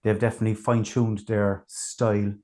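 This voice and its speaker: A man hosting a casual music review chat, talking in a relaxed, chatty way with plenty of fillers. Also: lowish voice, a little fast. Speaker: podcast host.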